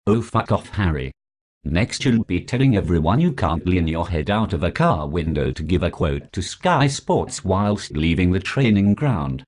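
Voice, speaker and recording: An actor playing a foul-mouthed prosecuting lawyer who talks with sarcastic contempt. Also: male voice, sits low, expressive, moderate pace, a little mellow. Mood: scornful. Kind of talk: comedy sketch acting.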